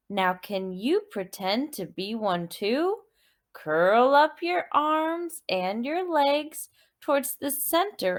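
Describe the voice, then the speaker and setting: A woman teacher reading a children's movement story, expressive and deliberate, prompting listeners with questions and repeated words. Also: on the high side.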